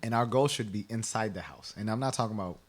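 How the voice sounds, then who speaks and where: Male interview guest from Kenya, low voice, explanatory and conversational, often tags points with "right?". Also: fast.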